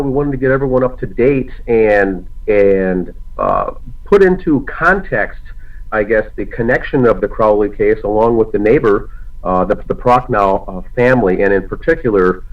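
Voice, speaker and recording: A man hosting a casual discussion broadcast, slightly hoarse from a cold, talking haltingly with many fillers and restarts. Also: mid-range voice. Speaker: podcast host. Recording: lo-fi muffled audio.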